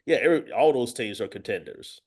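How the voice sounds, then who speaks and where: A man's voice: mid-range voice, animated, fairly quick, a little mellow, breathy edge. A man giving casual football analysis as a guest, emphatic, often repeating and rephrasing as he goes. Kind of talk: casual sports talk with analysis.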